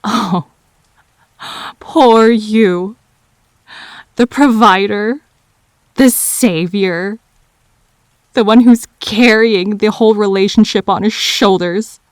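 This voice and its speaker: Woman acting a slow-spoken, wounded, grieving girlfriend. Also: mid-range voice, no room sound, close to an everyday voice. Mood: bitter, sarcastic. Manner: halting, wounded, deliberate phrasing with pauses. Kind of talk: emotional audio drama acting.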